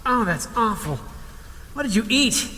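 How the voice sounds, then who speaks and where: A man's voice: extremely high pitch, very animated, measured pace, pushed and bright, exaggerated voice. An actor playing a hapless, self-deprecating museum cleaner in an audio drama, a man who talks in a hesitant, sarcastic, rambling way. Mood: wry. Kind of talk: naturalistic comedic audio drama acting. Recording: long cavernous reverb with faint noise.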